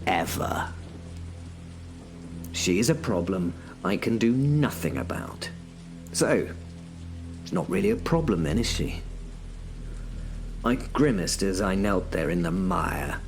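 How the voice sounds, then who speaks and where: A man tells a horror audio drama in the first person, in an unhurried, low voice. His delivery is dry and self-mocking. A faint music bed sits underneath. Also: very animated, gravelly. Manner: wry, self-mocking, dry British humour. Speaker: narrator and lead character.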